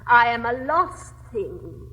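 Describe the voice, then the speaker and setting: A woman's voice: a little high, sing-song, slow, bright, forceful tone, heavily acted. An actress playing a witty, affected young society lady in a classic stage comedy, with a drawling, swooping delivery. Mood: coy. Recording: reverberant old recording, faint hiss.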